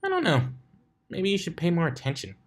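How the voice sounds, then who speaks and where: Brash young mare pegasus, male reader, cocky and teasing, casual delivery, lifted pitch. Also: wildly swooping pitch, fairly quick. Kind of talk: fan fiction character reading.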